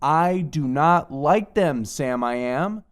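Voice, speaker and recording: A woman's voice: sits low, slow pace, voice pushed down. A woman voicing a grumpy, stubborn picky eater in a read-aloud storybook, grumbling every refusal. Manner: flat, grumbling, fed-up refusals.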